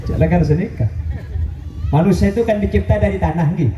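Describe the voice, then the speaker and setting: A man's voice: sits high, fairly quick, mellow, a faint crowd. A man preaching an Islamic sermon to a gathering, addressing listeners directly in a joking manner and mixing in Arabic quotations. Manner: joking, self-deprecating, addresses the audience directly. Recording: roomy, distant, noisy live gathering recording.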